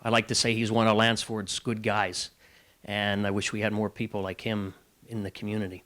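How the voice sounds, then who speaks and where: Male community representative, lowish voice, news soundbite. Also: flat delivery, moderate pace, fairly bright tone.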